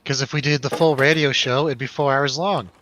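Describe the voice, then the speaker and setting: Bright, punchy male review host. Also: medium pitch, fairly even intonation, brisk, slight background noise. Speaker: host of a movie review show. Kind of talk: casual, joking review chat.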